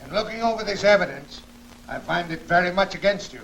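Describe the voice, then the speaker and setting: An actor playing a stern judge questioning a prisoner in a 1940s film serial, formal and authoritative with an American accent. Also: male voice, high voice, expressive, a little fast, fairly bright tone, soft background music. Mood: stern, probing. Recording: noisy old 1940s film soundtrack.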